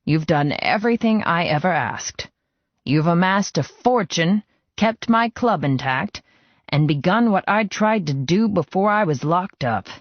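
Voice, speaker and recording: An older biker boss as a male audiobook narrator plays him speaks to his protege with earnest, sentimental feeling. His pitch moves in lively swings. The recording is dry and a little muffled. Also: unhurried, no room sound. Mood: moved, proud. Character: older male biker club boss, fond mentor, emotional.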